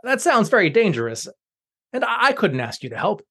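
A timid, modest garbage collector from a storybook, hesitant and halting as he talks. A man voices him. His voice sits a bit higher than a plain speaking tone. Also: very animated, a bit bright, slightly rough, full character voice.

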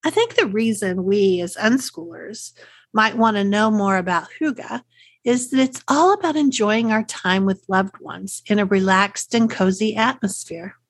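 Middle-aged Texan woman, podcast host, friendly and chatty, mid pitch, dry close sound. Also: a little slow, no echo. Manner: friendly, chatty, asks rhetorical questions. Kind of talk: scripted solo podcast talk.

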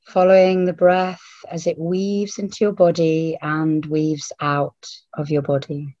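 A woman leading a guided meditation in a hushed, soothing manner, leaving long pauses between short phrases. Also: low voice, flat delivery. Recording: roomy, phone-like narrowband audio.

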